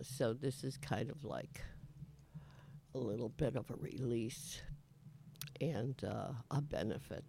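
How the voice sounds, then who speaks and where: A raspy-voiced man hosts a radio show, drifting slowly through a loose, chatty monologue full of fillers, restarts and little chuckles. His voice has a gravelly edge, and the recording sounds dry and close. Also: fairly high pitch, a little mellow, no echo. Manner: chuckles often, trails off with many fillers and restarts. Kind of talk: rambling casual monologue.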